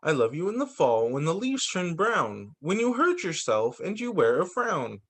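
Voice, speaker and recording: A man who teaches young children, reading picture books aloud in an expressive, sing-song storytime delivery. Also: fairly high pitch, normal speed. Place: remote video call story session.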